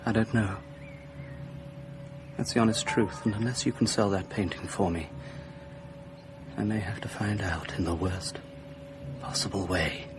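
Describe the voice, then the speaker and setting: An actor playing an obsessive young painter from a rich Parisian family, hesitant and fervent, in a radio drama. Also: male voice, lowish voice, steady tone, unhurried, long pauses, noisy background, natural voice. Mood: earnest, weary.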